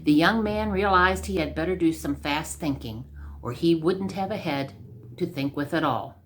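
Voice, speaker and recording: A woman's voice: low-pitched, normal speed, a bit bright, slight background noise. A woman storyteller reading a scripted comic tale aloud.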